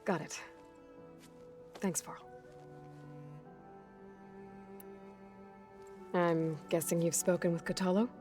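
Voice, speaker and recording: An actress playing a guarded, determined young heroine in a video game, speaking in a dry, understated, weary way. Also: female voice, fairly low pitch, steady tone, a little slow, a little mellow, soft background music, close to an everyday voice. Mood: casual, reserved. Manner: understated, terse, reserved. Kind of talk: naturalistic video game cutscene acting.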